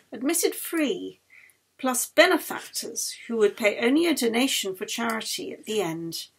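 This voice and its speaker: A woman with a fairly high, slightly husky voice reads literary fiction aloud in an expressive audiobook style. Her phrasing is deliberate and dramatic, shaping each clause with care. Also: poor audio. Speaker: author reading their own novel. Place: recorded at home.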